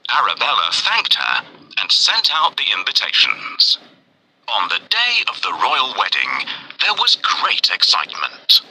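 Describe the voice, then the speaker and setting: A man narrating a scripted children's fairy tale in an expressive, deliberate way. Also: on the low side, slight background noise, poor audio. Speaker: storyteller.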